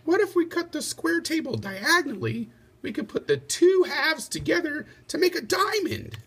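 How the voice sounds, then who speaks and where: A clever, practical medieval noblewoman, acted out by a man in a storybook. The voice sits very high in falsetto, and she offers her ideas helpfully. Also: very animated, moderate pace, vocal fry, a bit bright, full character voice. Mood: thoughtful, suggesting. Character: Lady Di, a clever, practical woman of a medieval court. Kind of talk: children's storybook read-aloud.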